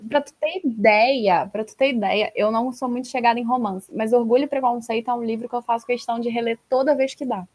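A woman with a Brazilian accent hosting a casual, informal book podcast in an animated, slangy way. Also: a little high, fast talker, few pauses. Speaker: podcast host. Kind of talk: casual chat about books.

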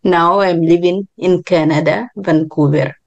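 A woman who grew up in Israel speaks as an interview guest in a lowish voice. Her delivery is very slow and deliberate, with halting, non-native phrasing. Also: fairly even intonation, creaky, a little mellow, lo-fi sound. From born in Ukraine, grew up in Israel. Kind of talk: personal interview answers.

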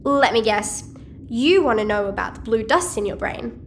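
A quirky teenage hacker girl, speaks in a high voice that swoops with cheeky energy. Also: expressive, moderate pace, a little hiss or hum. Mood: cheeky, confident. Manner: cheeky, chatty, slangy. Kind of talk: audio-fiction drama dialogue.

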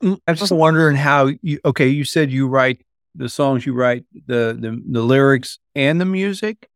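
A man's voice: medium pitch, moderate pace, clear, resonant tone, no echo, clean studio sound. A man co-hosting a podcast, chatting and asking questions casually. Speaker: podcast co-host. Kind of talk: casual chat.

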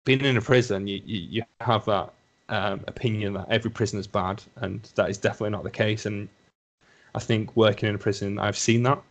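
A man's voice: on the low side, fairly even intonation, fairly quick. A young man with a Lancashire accent chatting casually as a podcast guest, rambling and reflective, full of "you know.". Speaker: podcast guest, assistant psychologist. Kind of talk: casual conversation.

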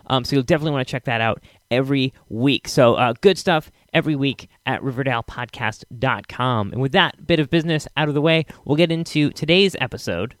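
A man hosting a podcast with a casual, halting, self-correcting solo introduction into a close microphone. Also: mid-range voice, dry recording. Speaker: podcast host.

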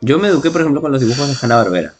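A man's voice: medium pitch, big pitch swings, racing, slightly rough, faint music behind. A man with a Peruvian accent chatting casually and reminiscing as a podcast co-host.

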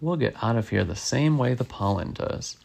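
A storybook reader as a cheerful, unflappable schoolteacher woman, creaky. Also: mid-range voice, normal speed. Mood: calm, cheerful. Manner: calm, upbeat, announcing. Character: cheerful, unflappable adult woman schoolteacher leading a class trip.

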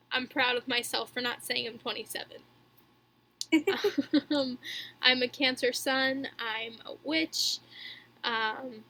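A woman in her twenties chatting casually as a podcast co-host, in a somewhat halting way. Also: on the high side, pushed and bright. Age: 20s. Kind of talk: casual chat.

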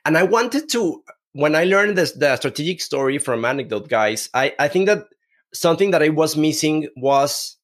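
A man from Mexico with a Mexican accent, a podcast guest who talks haltingly with many restarts. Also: fairly high pitch, expressive. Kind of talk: conversational interview.

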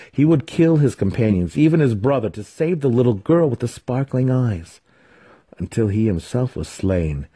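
A man's voice: fairly low pitch, warm tone, breathy edge. A man reading fantasy fiction as a grave, dramatic scripted narration. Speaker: audiobook narrator.

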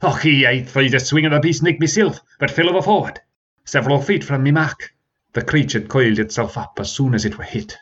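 A man voicing an old male warrior with a Scottish accent, telling a tale in a lively storytelling manner. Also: medium pitch, fairly quick, slightly rough, highs cut off, pitched above the performer's own voice. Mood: excited. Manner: lively storytelling with dialect words.

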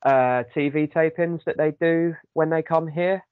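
A man's voice: on the high side, steady tone, a little slow, smooth, no echo, phone-quality sound. A man hosting a casual chat podcast with a sore throat, rambling with many ums. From United Kingdom. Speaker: podcast host.

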